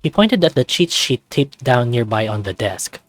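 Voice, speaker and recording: A man's voice: medium pitch, sing-song, normal speed, a bit bright, no room sound. A man casually reading a visual novel aloud and commenting on it as he plays. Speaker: let's player of a visual novel. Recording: music playing behind close dry voice.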